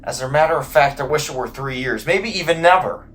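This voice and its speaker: A man's voice: fairly high pitch, fairly quick, a bit bright, a little hiss or hum, lightly acted. A man playing an exhausted, bitter, volatile sleepless man in an audio drama, with intense emotional delivery. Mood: weary bitterness.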